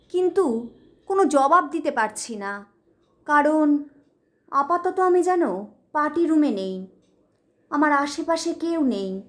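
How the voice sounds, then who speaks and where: A woman reading a story aloud in a dramatic storytelling style. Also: high voice. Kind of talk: dramatic audio story narration.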